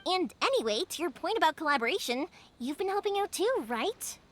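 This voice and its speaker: A woman voicing a cheerful young female fireworks maker in a video game, chatty and quick to laugh. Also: very high voice, sing-song, a bit bright, slight background noise, full character voice. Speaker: game character. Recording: game audio with music behind.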